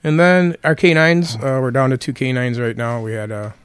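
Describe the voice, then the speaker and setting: Hoarse Midwestern lawman, plainspoken. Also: mid-range voice, steady tone, moderate pace, no echo. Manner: plainspoken, many fillers. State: hoarse from being sick. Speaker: county sheriff guest. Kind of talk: casual interview answers.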